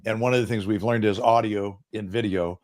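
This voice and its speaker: Low-voiced man in his sixties. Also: steady tone, brisk, a little mellow. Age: 60s. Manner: earnest, self-deprecating storyteller. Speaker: business coach guest. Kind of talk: casual interview chat. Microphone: boom microphone.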